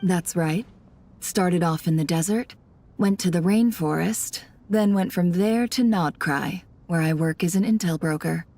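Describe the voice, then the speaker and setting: A shrewd intelligence broker in a video game. Her voice is a little low, cool and knowing with a teasing guardedness, and music plays behind it. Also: unhurried, a little mellow. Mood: cool, probing.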